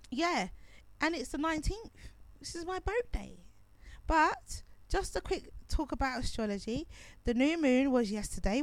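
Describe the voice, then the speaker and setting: A woman's voice: a little high, no echo. A woman hosting a pre-recorded radio show, chatting casually about astrology while losing her voice and coughing. She rambles and corrects herself as she goes.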